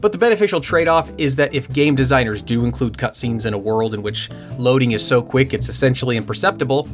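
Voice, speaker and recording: A man's voice: mid-range voice, fast, soft background music. Man giving dry, joking game commentary. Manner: dry humor, excited asides. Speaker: video essayist on game usability. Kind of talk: scripted commentary with jokes.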